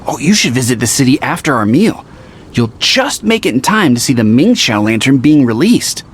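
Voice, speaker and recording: Casting: actor as friendly, courteous man for anime game, medium pitch, conversational, faint noise behind. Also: very animated, moderate pace, lightly acted. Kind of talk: anime video game voice acting.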